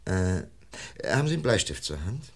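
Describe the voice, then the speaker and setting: Gravelly, low-voiced police inspector. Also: male voice, very animated, fairly quick, full character voice. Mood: calm, courteous. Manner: clipped questions, pensive hums, authoritative. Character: seasoned, dry-humoured male police inspector, firm and in command. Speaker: actor in a radio crime play. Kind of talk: German radio crime drama acting.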